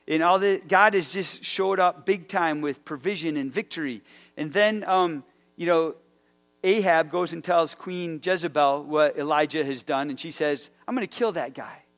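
A man's voice: fairly high pitch, normal speed, a bit bright. A man preaching a conversational sermon in a church, telling a scripture story with many fillers and restarts. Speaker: pastor.

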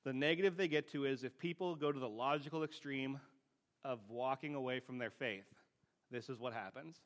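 A man's voice: mid-range voice, normal speed, a bit bright. Man, preacher, mid-range voice, conversational sermon, plain-spoken, rhetorical questions.

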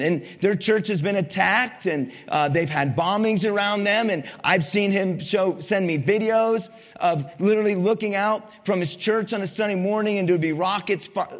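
A male pastor preaching a sermon to his congregation, asking it questions and swinging between loud emphasis and soft, low lines. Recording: long hall reverb.

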